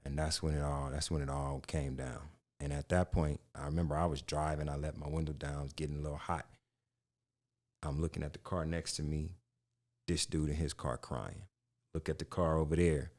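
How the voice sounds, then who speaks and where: An American man hosting a podcast, telling a personal story in slangy, unhurried short phrases. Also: extremely low pitch, steady tone, a little mellow. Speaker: podcast host. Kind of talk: casual storytelling chat.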